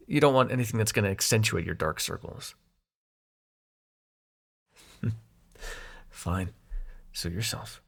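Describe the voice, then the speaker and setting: Man playing a gravelly, sardonic young demon prince. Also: on the low side. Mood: sullen, wry. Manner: sardonic, teasing, hesitant when showing affection. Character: snarky young demon prince of nightmares, secretly soft-hearted. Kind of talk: intimate audio roleplay addressed to the listener.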